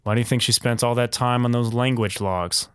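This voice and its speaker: A man's voice: mid-range voice, fairly even intonation, brisk, clear, resonant tone, dry recording, clean studio sound, lightly acted. A man playing an abrasive second-in-command on a space station, arguing emphatically in an audio drama. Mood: measured. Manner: emphatic, lecturing, builds rhetorical hypotheticals.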